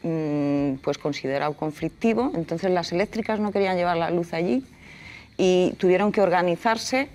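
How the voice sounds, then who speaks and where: A woman's voice: fairly low pitch, moderate pace, a little mellow. A woman in her forties from Castilla-La Mancha, a guest in a TV studio interview, explaining earnestly with many fillers. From Castilla-La Mancha, Spain. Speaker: environmental activist guest. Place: TV studio set, leather armchair.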